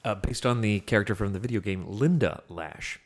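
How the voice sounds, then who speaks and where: A man's voice: lowish voice, fairly quick. A man co-hosting a podcast, casually discussing films, jumping in with questions and fussing over small details. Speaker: podcast co-host.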